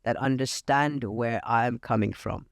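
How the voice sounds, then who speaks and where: A gravelly-voiced man from India speaks as a podcast guest, confident and matter-of-fact, pausing often on "uh." The sound is dry and close, with no room echo. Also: mid-range voice. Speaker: real estate agent, podcast guest. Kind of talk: casual interview talk. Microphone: podcast studio mic.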